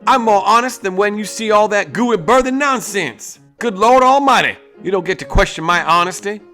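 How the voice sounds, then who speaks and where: A man voicing Ellie, a stubborn young female gunslinger, in a strained high drawl. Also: expressive, normal speed, bright, forceful tone, soft background music. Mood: indignant.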